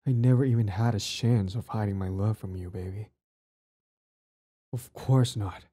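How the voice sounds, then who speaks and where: A possessive young bully who is hopelessly lovestruck played by a man for an intimate audio roleplay. His voice sits fairly low with a dark, relaxed tone. He comes across as clingy and earnest, tripping over words as he goes. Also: moderate pace, breathy edge. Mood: tender, confessing.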